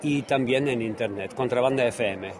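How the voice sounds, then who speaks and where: A man reporting for radio from a large, busy exhibition hall. His delivery is unscripted and descriptive, at a middle pitch, with plenty of crowd noise around him. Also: a little fast. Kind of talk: on-site radio reportage and interview. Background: crowd murmur.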